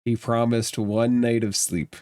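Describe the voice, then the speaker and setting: A man running a tabletop roleplaying game as game master, narrating in a measured, deliberate way. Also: medium pitch, level tone, darkish tone, dry recording.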